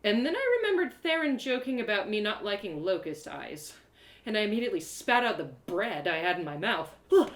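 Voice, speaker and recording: A woman narrating a first-person adventure story in an expressive, theatrical way with dramatic pauses. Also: mid-range voice, reverberant. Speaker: storyteller performing own one-woman audio drama.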